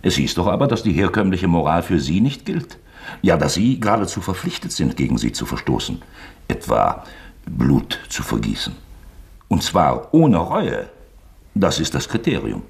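A sly investigator in a german radio play, super polite but probing, gravelly, very animated. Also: male voice, sits low, normal speed, full character voice. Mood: feigned wonder, insinuating. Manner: mock-forgetful, hesitant, probing politeness. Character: sly, falsely genial male investigator toying with suspect.

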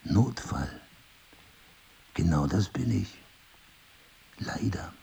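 An actor playing a bewildered, skeptical husband with amnesia, wryly self-mocking and probing in a naturalistic relationship drama. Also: male voice, sits low, expressive, extremely slow, creaky, warm tone, husky, heavily acted. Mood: teasing. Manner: probing, sardonic, questioning. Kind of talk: two-hander relationship drama acting, naturalistic.

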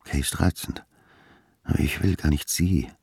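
A weary middle-aged writer broods in a radio-play monologue. His voice is extremely low and very rough, recorded dry and close. He mocks himself and sounds full of longing. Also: steady tone, normal speed, darkish tone, no room sound, full character voice. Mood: confiding, longing. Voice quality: gravelly, breathy.

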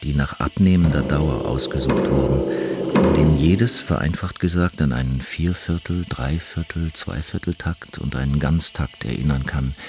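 A man reads a scripted literary text as narrator in a solemn, measured, declamatory way. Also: extremely low pitch, a little mellow. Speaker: narrator reading quoted texts.

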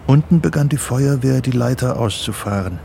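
An actor narrating a psychological thriller radio play as a sober storyteller. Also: male voice, medium pitch, moderate pace, gravelly, dark tone, a little hiss or hum. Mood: sober, suspenseful. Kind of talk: scripted literary narration.

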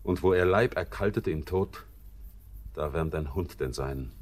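A man's voice: deep voice, moderate pace, a little mellow. An actor playing a bitter, haunted seafaring man in a German radio play, declaiming poetic lines theatrically. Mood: bitter grief.

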